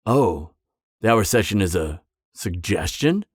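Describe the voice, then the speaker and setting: A man's voice: fairly low pitch, animated, a little slow, husky, no echo, clean studio sound. A man playing an awkward, earnest, by-the-book supervillain on a date in an audio drama, speaking in a hesitant, stammering, matter-of-fact way. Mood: earnest, businesslike. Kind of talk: conversational roleplay audio drama.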